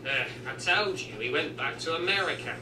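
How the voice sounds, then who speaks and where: Man voicing a pompous, higher-pitched cartoon engine detective. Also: expressive, fairly quick, lightly acted. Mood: brisk, bossy. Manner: pompous, boastful. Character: self-important, bossy male steam engine playing detective. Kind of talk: cartoon fan dub. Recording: echoey, distant, noisy playback.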